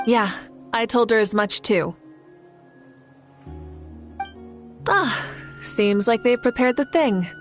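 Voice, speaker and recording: A woman acts a young warrior chief in a video game, with a somewhat high, composed voice. She speaks in a measured, encouraging and kindly way over a bed of music. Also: natural voice. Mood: reassuring. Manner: measured, reassuring.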